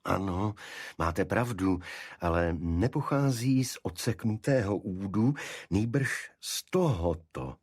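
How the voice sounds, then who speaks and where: A confident Victorian detective, read by a male narrator, with a low, husky voice. He lays out deductions deliberately and precisely in a dry, close audiobook sound. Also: animated, no echo. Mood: absorbed, analytical.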